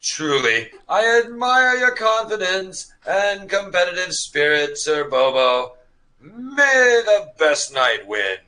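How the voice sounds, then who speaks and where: Man playing a florid knight with swooping pitch. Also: big pitch swings, takes it slowly, bright, forceful tone, full character voice. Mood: noble, earnest. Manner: formal, pompous, theatrical delivery. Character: florid, chivalrous young male knight, secretly cowardly. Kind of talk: comic mock-medieval sketch acting.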